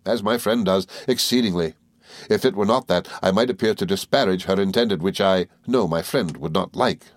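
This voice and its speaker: A man reading a story aloud, voicing a confident young gentleman who speaks slowly and teasingly. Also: lowish voice, no room sound, close to an everyday voice. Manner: smooth, teasing, deliberate.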